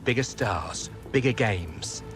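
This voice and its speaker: A man's voice: fairly low pitch, animated, takes it slowly. A British man playing a slick, chummy football agent with slangy, persuasive talk in a video game cutscene. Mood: gleeful. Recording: music behind the voice.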